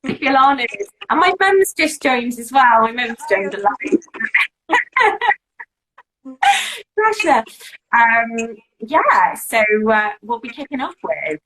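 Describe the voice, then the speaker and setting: A woman's voice: a little high, sing-song, slow, pauses often, sharp tone, slight background noise, rough recording. A woman from Wales, a guest on a live video-call interview, talking casually with many fillers and restarts. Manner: chatty, lots of fillers and restarts.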